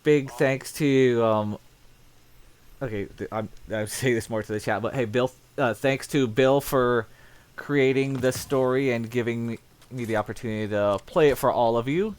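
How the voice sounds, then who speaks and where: A man hosting a casual live stream, talking to chat in a halting, unhurried way. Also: mid-range voice, clean tone. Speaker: game streamer, host. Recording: loud game gunfire behind voice. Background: video game gunfire.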